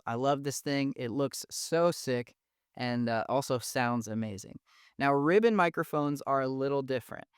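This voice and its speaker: A man with a smooth, clean voice and a thin, mid-range tone explains things in a laid-back, slangy way. He sounds enthusiastic and conversational. The recording is dry and close, with no room sound. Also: medium pitch, no echo. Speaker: audio engineering instructor. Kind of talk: casual explanatory lesson.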